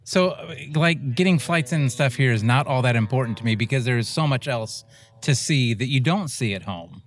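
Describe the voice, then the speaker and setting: A man's voice: medium pitch, expressive, normal speed. A man co-hosting a podcast, chatting casually and in a laid-back way, often trailing off mid-thought. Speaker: podcast co-host. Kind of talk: casual chat. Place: outdoor flying event.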